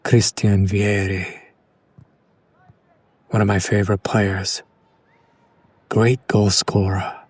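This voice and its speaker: A man playing a condescending, smitten kidnapper in an ASMR roleplay, speaking in a hushed, breathy, lingering way. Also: on the low side, little pitch movement, slow, pauses often, no room sound. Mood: hushed, admiring. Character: condescending yandere male kidnapper, smitten with his captive. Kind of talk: whispered ASMR roleplay, slow soft recitation.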